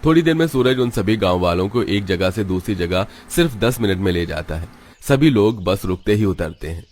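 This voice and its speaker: Man, low voice, scripted cartoon storytelling, narrator. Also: animated, moderate pace, a little mellow, slight background noise.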